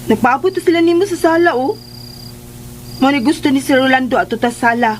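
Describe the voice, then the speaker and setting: An actress playing an excitable, whiny young household woman in a Philippine radio drama, with a Filipino accent. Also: high voice, fairly quick, slight background noise, lightly acted, low-quality recording. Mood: chatty. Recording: music behind the voice.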